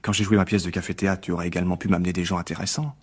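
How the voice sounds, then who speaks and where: Sarcastic male critic, embittered, falsely cheerful, mocking, lowish pitch, radio drama. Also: little pitch movement, very fast, slightly rough. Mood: resentful. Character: bitter, sarcastic male critic, a failed actor turned journalist. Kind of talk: French radio drama acting.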